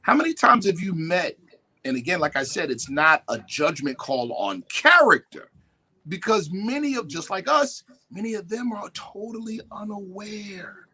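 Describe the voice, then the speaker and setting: Animated husky-voiced man lecturing. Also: high-pitched, normal speed. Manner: animated, emphatic, quotes definitions and mimics others. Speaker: guest speaker. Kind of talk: lecturing talk-show discussion.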